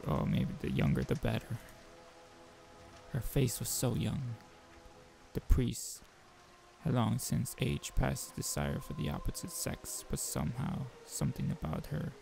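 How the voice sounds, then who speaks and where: A man with a gravelly voice reading a visual novel aloud as a game player, mixing narration with informal joking asides. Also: mid-range voice, darkish tone. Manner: informal, joking commentary between read passages.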